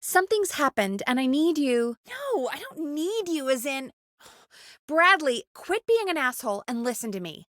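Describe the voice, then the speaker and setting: A young female radio producer in a video game, with a high voice and a close, dry sound. She is earnest and capable but anxious, speaking naturally with small hesitant asides. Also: big pitch swings, a little slow, fairly bright tone, no echo, full character voice. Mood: urgent, exasperated.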